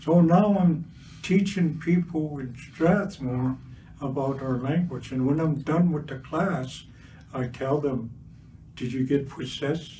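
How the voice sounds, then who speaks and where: An older man whose first language is Blackfoot, giving an earnest public talk with many pauses and fillers and a touch of gentle humor. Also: a little high. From Siksika Nation, Alberta, Canada. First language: Blackfoot (Siksika). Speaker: guest speaker, residential school survivor. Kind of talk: public talk at a livestreamed community event. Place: cultural centre event space. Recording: distant mic with faint ambient noise.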